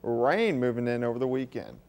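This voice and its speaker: A man's voice: medium pitch, animated, fairly quick, gravelly, a little mellow. An American man presenting the TV weather in a casual, ad-libbed style.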